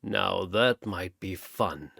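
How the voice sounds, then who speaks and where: A man's voice: deep voice, takes it slowly, vocal fry, pushed and bright, no echo, studio quality, pitched below the performer's own voice, full character voice. A man voicing a predatory mercenary soldier with a mocking, taunting, cruel manner. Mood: predatory relish.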